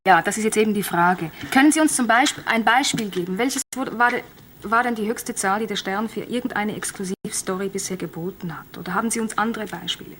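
A woman's voice: medium pitch, fairly quick, fairly bright tone, slight background noise. A woman hosting a radio show, questioning a caller in a probing, persistent way. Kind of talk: radio interview.

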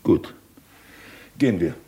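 A man's voice: takes it slowly, mellow, lightly acted. An actor playing a sly police investigator in a German radio drama, insinuating and falsely apologetic as he toys with a suspect. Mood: brisk, commanding. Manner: insinuating, mock-apologetic, theatrically polite.

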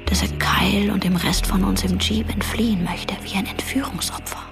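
An actress narrating a dark radio drama in a quiet, breath-heavy half-whisper. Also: female voice, medium pitch, fairly even intonation, moderate pace, vocal fry, natural voice. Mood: quiet, melancholy. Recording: music behind. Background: music.

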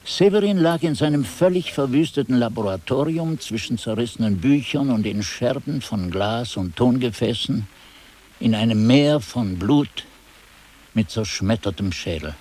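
An actor playing an aged, forgetful monk who recounts haunting events in a radio play, muttering to himself and then exclaiming. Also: male voice, medium pitch, animated, a little mellow, close to an everyday voice. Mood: grave recounting. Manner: halting self-talk, swinging from muttering to exclaiming. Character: aged monk chronicler, forgetful, haunted, musing over his manuscript. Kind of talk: dramatic radio play narration.

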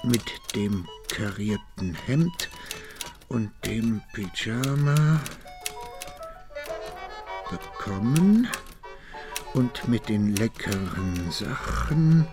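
Aging mafia boss, very slow and deliberate. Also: male voice, lowish voice, animated, over music, heavily acted. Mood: slow, tender. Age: older. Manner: measured, deliberate, sententious. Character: aging Sicilian mafia boss in hiding, patient, pious. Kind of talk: German radio crime drama acting.

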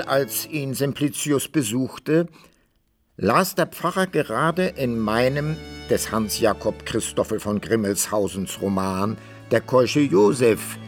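Learned writer narrating radio play, slow n measured, bit of music behind. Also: male voice, medium pitch. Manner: measured, bookish storytelling. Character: baroque author as wry, learned male narrator. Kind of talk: literary radio drama narration.

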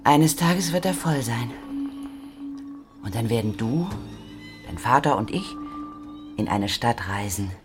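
A woman's voice: extremely low pitch, animated, takes it slowly, pauses often, over music, full character voice. An actress playing a careworn, loving middle-aged mother in a radio play, anxious and tender. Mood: wistful, dreamy. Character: careworn, loving working-class mother of a teenage boy. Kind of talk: German radio play acting.

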